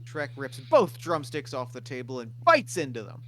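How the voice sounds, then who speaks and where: A man's voice: mid-range voice, sing-song, moderate pace. A man narrating scripted stage directions at a comedic table read.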